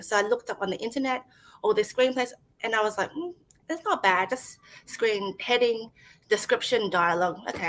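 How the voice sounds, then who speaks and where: A woman's voice: mid-range voice, fairly bright tone, low-quality recording. Woman in her 30s, screenwriter, chatty, laughs a lot, acts out quotes, video call interview. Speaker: screenwriter guest. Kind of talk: casual interview chat.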